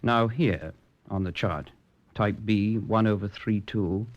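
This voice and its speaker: A low male voice on a muffled vintage radio recording. a police technician, methodical and deferential, who lays out details precisely. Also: slow pace. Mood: precise, explaining. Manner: precise, explanatory. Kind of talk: old radio drama acting. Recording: dull, lo-fi old radio recording.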